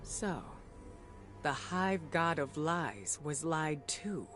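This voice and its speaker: A pragmatic protector and leader in a video game drama. She sounds earnest, measured and determined, with music clearly playing behind her. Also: deep voice, animated, a bit bright, heavily acted. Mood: urgent realization.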